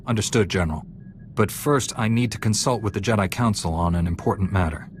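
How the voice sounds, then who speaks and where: A man playing a steady, dutiful, courageous Jedi knight in a video game. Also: on the low side, brisk, slight background noise, close to an everyday voice. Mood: firm. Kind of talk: dramatic video game acting.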